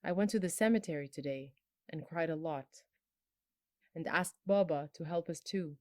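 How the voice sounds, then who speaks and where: A woman in her twenties from Bangladesh, telling her personal story in a soft, earnest, emotional way, her phrases trailing off low. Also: moderate pace, dry recording, clean studio sound. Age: 20s. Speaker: storyteller sharing a personal testimony. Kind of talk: personal testimony.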